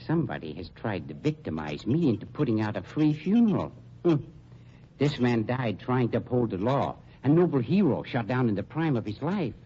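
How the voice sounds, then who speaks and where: An actor playing a suave, mercenary funeral director in an old radio crime drama, speaking with pompous, deliberate diction. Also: male voice, mid-range voice, sing-song, warm tone, slightly rough. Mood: smooth, mercenary. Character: suave, shrewd funeral director, coolly mercenary double-crosser. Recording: dull, noisy old radio recording.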